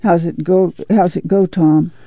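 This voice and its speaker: A woman's voice: fairly low pitch, steady tone, unhurried, dark tone, no room sound, highs cut off. A woman playing a worried, stern but loving mother in a dramatized novel reading, with folksy country speech and an urgent, pleading way of talking.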